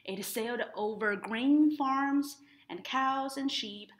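A young woman reading a children's picture book aloud in an expressive, deliberate storytelling style, adding short explanatory asides. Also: sits high. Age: young adult. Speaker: library storytime reader. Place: indoors in front of a painted woodland mural.

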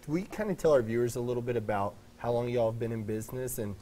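A man's voice: mid-range voice, fast, warm tone, slight background noise. A man co-hosting a TV show, casual and friendly, on a lapel mic in a studio. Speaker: TV co-host. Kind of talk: morning TV chat. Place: TV studio set.

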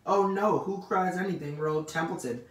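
A man's voice: a little high, a little fast, pitched above the performer's own voice, lightly acted. A man voicing a lazy, self-pitying storybook rat, growling, sarcastic and full of mocking complaint. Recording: roomy, distant mic with faint noise.

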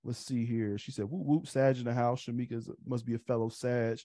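A man in his thirties hosts an online meetup from home, close to the mic. His voice sounds dry and clear, with a mid-range pitch. He talks in a casual way, with relaxed uhs and restarts. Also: a little fast, no room sound. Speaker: host. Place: home room indoors.

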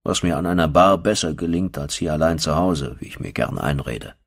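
A man's voice: a bass voice, fairly quick, vocal fry, husky. A man narrating a first-person horror audiobook as a storyteller.

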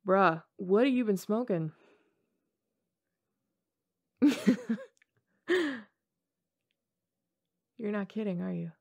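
A woman's voice: mid-range voice, animated, measured pace, gravelly. A woman playing a playful, teasing college-age tomboy gamer girl in an intimate ASMR roleplay, talking casually in slang with hesitations. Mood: flustered, awkward.

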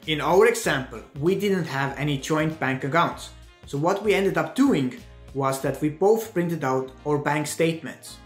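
A young man from Estonia with an Estonian accent, giving earnest, deliberate advice in a scripted vlog, talking with his hands. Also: fairly high pitch, roomy sound. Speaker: vlogger.

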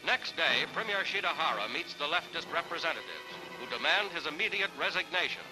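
A man's voice: on the high side, sing-song, fairly quick, over loud music, low-quality recording. A man reading scripted newsreel narration in a formal, clipped announcer delivery on a vintage 1940s soundtrack.

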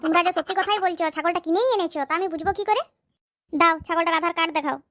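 A performer with a very high voice playing a bossy police officer in a cartoon, scolding and demanding papers. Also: fairly bright tone. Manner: brisk, scolding. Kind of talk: cartoon comedy dialogue.

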